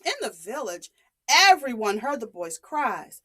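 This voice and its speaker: A woman telling a story to young children in a dramatic, expressive, deliberate way. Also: fairly high pitch.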